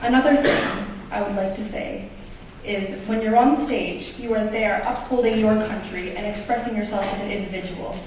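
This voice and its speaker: A woman gives a slow, deliberate pageant answer, placing each phrase with care. She sounds distant from the mic in a strongly echoing theatre with faint noise. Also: mid-range voice. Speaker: pageant candidate. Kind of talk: answering an onstage question. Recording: echoey theatre from far off.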